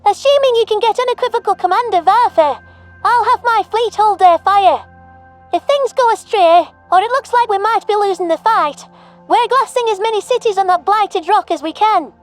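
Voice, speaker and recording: A woman's voice: very high voice, normal speed, faint music behind. A woman playing an embittered, distrustful alien bird fleet commander in a sci-fi audio drama, blunt and threatening. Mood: grim, grudging. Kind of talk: audio drama character acting.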